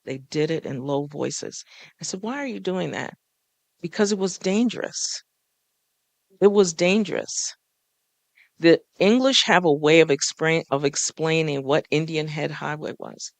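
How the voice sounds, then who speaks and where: A man's voice: on the high side, a little slow, creaky, dry recording. A man, a folklorist, speaking to a live audience on a panel in a small café, earnest and coaxing, often putting questions to the crowd.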